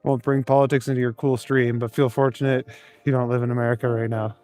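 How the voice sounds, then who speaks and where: A man chats through a live stream from cold city streets, answering his viewers as he goes. His voice sits at an ordinary middle pitch, and he chuckles and rambles easily between comments. Faint music plays behind him. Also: flat delivery, fast talker, darkish tone, smooth. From Canada. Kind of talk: casual live stream, reading chat aloud.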